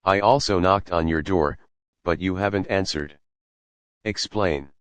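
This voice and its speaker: A man's voice: sits low, measured pace, smooth, no echo. A man playing a concerned, stern young male friend who asks firm questions.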